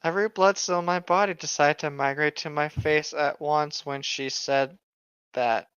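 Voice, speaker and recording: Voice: mid-range voice, fairly even intonation, moderate pace, fairly bright tone, smooth, no room sound. A speaker doing a mocking dramatic reading with exasperated, sarcastic ad-lib commentary, on a slightly dull microphone. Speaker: co-reader riffing on a horror story.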